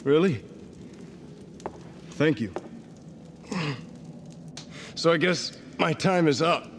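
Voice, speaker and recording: An actor playing a brash, talkative young adventurer in a video game, wry and sometimes stammering. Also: male voice, fairly high pitch, extremely slow, a little hiss or hum. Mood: weary, pleading. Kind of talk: dramatic video game voice acting.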